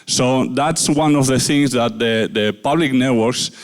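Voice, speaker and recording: A middle-aged man from Spain with a Spanish accent, giving a conference talk in a halting way with many filler pauses. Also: mid-range voice. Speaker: conference speaker.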